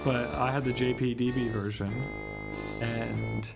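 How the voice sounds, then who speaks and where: A man's voice: mid-range voice, level tone, unhurried, gravelly, music in the background. A man livestreaming a visual novel readalong, chatting casually and thinking aloud in a rambling way. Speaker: streamer reading visual novels.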